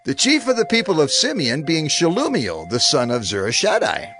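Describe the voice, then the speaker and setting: A man's voice: a little high, wildly swooping pitch, normal speed. A man reading scripture from a script in a formal, proclaiming manner. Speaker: narrator. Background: faint music.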